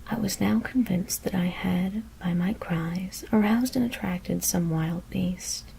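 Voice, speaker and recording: Whispering woman, creaky low-ish edge, hushed ASMR storyteller, careful pauses, gothic horror reading. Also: a little hiss or hum, rough recording.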